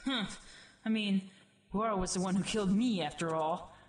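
A woman's voice: medium pitch, moderate pace, a little hiss or hum, natural voice. A woman voicing a sarcastic, brash young dead woman in a fan radio play, snappy and exclaiming. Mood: snarky.

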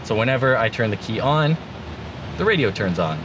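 A man's voice: medium pitch, big pitch swings, a little fast. A man in his thirties giving a casual, laid-back but enthusiastic product walkthrough in colloquial language, as a gear vlogger. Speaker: vlogger demonstrating vehicle gear. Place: inside a parked jeep with open door, outdoors. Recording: clear background noise, vehicle ambience.

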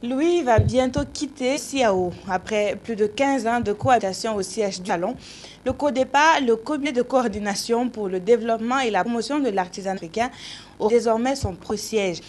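A woman's voice: fairly high pitch, moderate pace, darkish tone, a little hiss or hum. Middle-aged woman anchoring the news. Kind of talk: read news presentation. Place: TV news studio.

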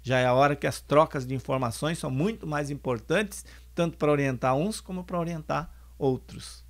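A man in his sixties giving a calm spoken lecture on family, explaining points and repeating them, into a close microphone in a home studio. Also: a little high, normal speed. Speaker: spiritist lecturer and singer. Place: home studio, bookshelves behind. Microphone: orange foam mic on stand, close.

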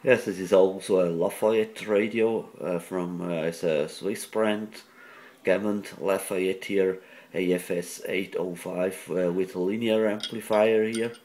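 A man who is not a native English speaker, giving a halting, matter-of-fact hands-on technical demonstration at a workbench. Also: low-pitched, fairly even intonation, a little mellow. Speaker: radio repair hobbyist. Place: indoors at an electronics workbench.

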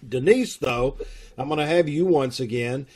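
A man's voice: mid-range voice, normal speed, darkish tone, slightly rough. A middle-aged man giving casual, explanatory announcements to an online audience over a video call. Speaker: troupe announcer. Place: room at home, indoors.

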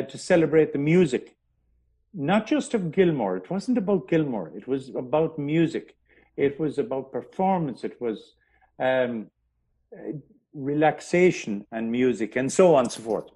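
A man, a historian, telling history in an interview, pausing often with ums and restarting his sentences. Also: mid-range voice, darkish tone. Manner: hesitant, many ums and restarts. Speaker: historian guest.

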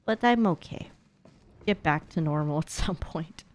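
A woman's voice: sits low, unhurried, a little mellow. A young woman giving casual live gaming commentary in a playful, slangy, chatty way. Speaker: streamer. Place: indoor streaming room. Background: horror game sounds.

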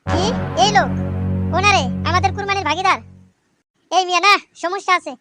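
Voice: very high voice, fairly even intonation, moderate pace, no room sound. A performer voicing a blunt, principled cartoon man who argues against bribery, with a Bangladeshi regional accent. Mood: suspicious. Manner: blunt, argumentative. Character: plain-spoken, principled man refusing to pay bribes. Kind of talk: comic cartoon voice acting. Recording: loud music behind the voice. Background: loud music.

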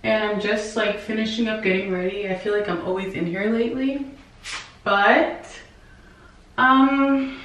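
A woman's voice: medium pitch, distant mic. Chatty young woman in an echoey bathroom. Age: young adult. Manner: chatty, frequent "like" fillers, candid. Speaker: vlogger. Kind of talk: casual vlog chat and clothing haul. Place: home bathroom with tiled shower. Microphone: camera on a tripod a few feet away. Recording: echoey bathroom, mic set back.

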